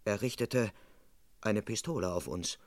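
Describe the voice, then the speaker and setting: An actor playing an indignant, self-important police constable in an old German radio crime play, earnest and dryly comic. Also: male voice, on the low side, unhurried, no room sound, studio quality. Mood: dramatic narrating.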